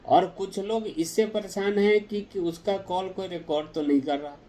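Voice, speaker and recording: A man explaining a legal ruling to viewers in a direct, deliberate, explanatory way. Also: sits high, steady tone, mellow.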